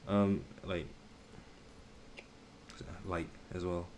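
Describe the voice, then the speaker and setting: A young man chatting casually on a live stream, pausing often to search for words and using a lot of slang. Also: low voice, level tone, background noise.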